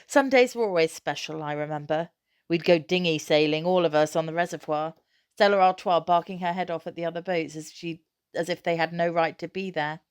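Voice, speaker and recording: A woman narrates a children's novel in a fairly low voice. She reads scripted prose aloud with expression, as a storybook reader. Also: clean tone, no room sound, studio quality.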